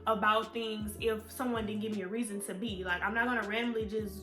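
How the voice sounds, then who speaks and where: A woman's voice: medium pitch, little pitch movement, brisk. A woman, chatting candidly to her viewers in a storytime vlog. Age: 20s. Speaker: vlogger. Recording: echoey room with faint music.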